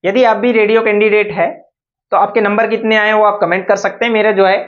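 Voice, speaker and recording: A woman's voice: mid-range voice, steady tone, brisk. Young woman explaining, dull lo-fi sound. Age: young adult. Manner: repetitive, step-by-step explaining, frequent check-in tags. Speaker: exam-prep video presenter and police candidate. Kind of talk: informal explainer. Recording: dull lo-fi voice recording.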